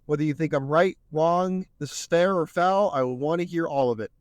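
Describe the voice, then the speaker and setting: A man's voice: a little high, moderate pace, no room sound, clean studio sound. A man hosting a solo podcast, speaking earnestly and a bit preachily while he is losing his voice. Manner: earnest, preachy, repeats "my friends". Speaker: podcast host.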